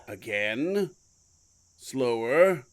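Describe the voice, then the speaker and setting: A man playing a secretive, commanding, guilt-burdened mentor in an audio drama, speaking deliberately and cryptically. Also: mid-range voice, lively intonation. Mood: calm, coaxing. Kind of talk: audio fiction podcast acting.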